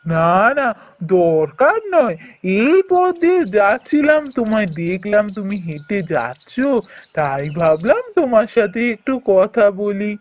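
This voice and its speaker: A man's voice: sits high, big pitch swings, measured pace, dry recording. A man playing an eager, thrifty young village merchant in a folk-tale cartoon, fretful and exclamatory as he talks.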